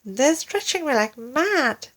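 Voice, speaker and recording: A woman's voice: sits high, sing-song, takes it slowly, a bit bright, pitched above the performer's own voice, full character voice. A woman voicing a panicky, gullible, melodramatic wife in a storybook, crying out urgent pleas. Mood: anxious.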